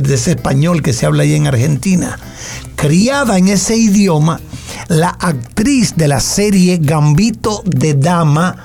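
A man hosting an entertainment news segment, reading celebrity stories with drawn-out pauses. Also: fairly high pitch, vocal fry, darkish tone. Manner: dramatic pauses, reacts with short interjections. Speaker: entertainment show host.

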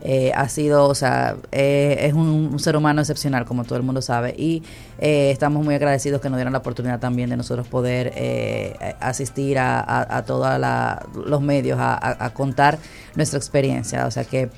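A woman's voice: extremely low pitch, little pitch movement, normal speed, creaky, a little mellow. A woman answering interview questions about her animation studio, hesitant and full of fillers.

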